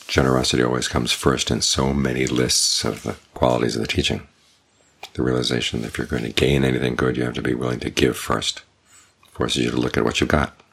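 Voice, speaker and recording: A man, a Buddhist monk, giving a measured, deliberate dharma talk. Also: a bass voice, gravelly, a bit bright, slightly rough.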